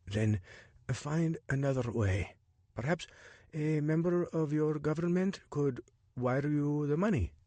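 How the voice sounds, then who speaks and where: A man's voice: fairly high pitch, slow, mellow, no room sound, voice pushed up. A man reading a story voices a wary, formal male informant who speaks in short, disapproving lines. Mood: advising.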